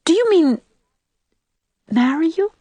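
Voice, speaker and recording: A woman's voice: medium pitch, huge pitch swings, brisk, no echo. A woman voicing a polite, dignified young female schoolteacher with proper, prim speech in an audiobook. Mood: shocked. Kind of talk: audiobook character voice.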